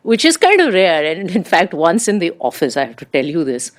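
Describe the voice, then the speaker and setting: A middle-aged woman with an Indian accent, a native Urdu speaker, talks as an interview guest. Her voice sits slightly low but moves through a very lively range. She tells stories conversationally and animatedly, slipping into quoted remarks. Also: a little fast. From India, raised abroad in diplomatic postings. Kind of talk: conversational personal storytelling.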